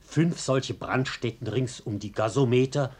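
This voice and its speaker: An actor in a German radio play playing a brazen, sly arsonist who poses as a courteous guest, teasing and deliberate. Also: male voice, medium pitch, breathy edge. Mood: matter-of-fact. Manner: mock-polite, teasing, deliberate.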